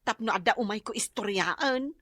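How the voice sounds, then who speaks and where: A woman playing a lively, whining, gossipy character in an Ilocano radio drama. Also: a little high, moderate pace, no echo.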